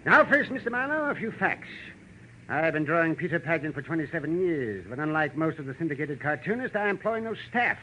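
Fussy, crabby cartoonist, swooping pitch. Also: male voice, sing-song, brisk, bright, forceful tone. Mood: brisk, self-important. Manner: imperious, fussy, impatient. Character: tall, gruff, crabby veteran male cartoonist. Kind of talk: 1940s radio drama acting. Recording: muffled, noisy vintage radio recording.

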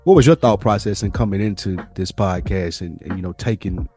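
A man's voice: deep voice, a little fast, over music. An American man hosting a podcast in casual, informal conversation. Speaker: podcast host. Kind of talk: casual chat.

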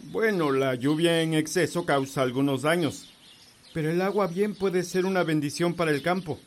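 An actor playing a calm, kindly village priest in a radio drama, gentle and reassuring. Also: male voice, on the high side, moderate pace, a little hiss or hum, lightly acted.

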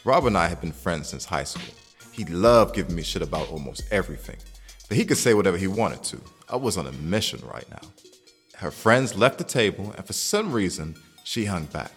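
A man acts out a cocky young bachelor in an audio drama. His voice is low and swings in a very lively way, with slangy, confident phrasing. Soft music plays behind him. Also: brisk, breathy edge, heavily acted. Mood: reflective. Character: cocky, smitten young single man telling his story. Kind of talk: audio drama narration and dialogue.

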